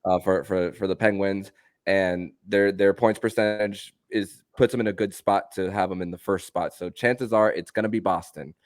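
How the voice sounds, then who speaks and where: A man with a low voice hosts a casual sports discussion. The sound is dry, with no room on it. He talks haltingly, often tripping and doubling back on words. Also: fairly even intonation, no echo. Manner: halting, stammering over repeated words. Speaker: sports podcast host.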